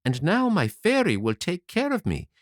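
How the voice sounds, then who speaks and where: A man voicing a mischievous wooden boy marionette, telling his tale in a lively, sing-song way. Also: high-pitched, normal speed, no echo, clean studio sound. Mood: cheeky. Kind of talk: audiobook character reading.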